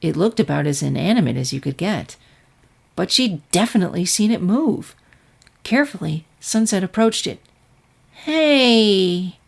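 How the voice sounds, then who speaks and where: A woman reading scripted fiction aloud as an expressive story narrator. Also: on the low side, normal speed, vocal fry, slightly rough.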